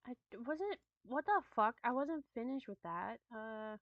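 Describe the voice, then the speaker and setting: A woman livestreamer chatting casually, in a hesitant, halting way. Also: a little high, expressive, no echo. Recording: thin phone-like lo-fi audio.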